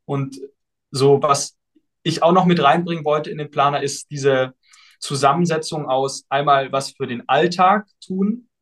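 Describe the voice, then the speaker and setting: A young man, a guest on a podcast, answering questions in a relaxed and thoughtful way, with frequent fillers. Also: fairly high pitch, measured pace. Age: young adult. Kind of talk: casual podcast interview.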